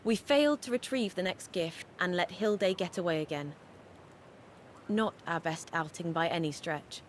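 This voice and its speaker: A woman's voice: on the low side, moderate pace, a little hiss or hum, lightly acted. A woman playing a pragmatic, level-headed warrior companion in a fantasy video game. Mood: sober, practical.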